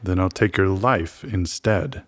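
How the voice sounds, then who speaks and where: A man's voice: deep voice, measured pace. A man playing a firm, threatening island bar keeper in an audio drama.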